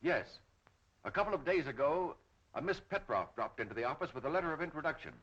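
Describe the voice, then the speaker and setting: A man's voice: on the high side, lively intonation, fast talker, a bit bright. An actor playing an anxious, earnest businessman in a 1930s film. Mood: worried, earnest. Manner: earnest, nervous. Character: anxious, harried male businessman in fear. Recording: dull 1930s film soundtrack.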